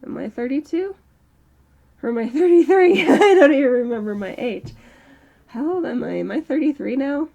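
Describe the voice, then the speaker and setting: A woman in her early thirties talking slowly and reflectively in a personal vlog, with a strained throat. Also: high-pitched, lively intonation, vocal fry, mellow, dull audio. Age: early 30s. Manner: slow, halting, reflective. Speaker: channel host. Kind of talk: personal mental health vlog monologue.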